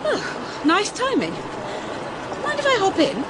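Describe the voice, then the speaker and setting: An actress playing a feisty, excitable young woman who stands up for herself in a radio drama. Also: very high-pitched, slow pace, a loud crowd, heavily acted. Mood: surprised relief. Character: feisty, defiant young woman under threat. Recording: loud outdoor street and vehicle noise.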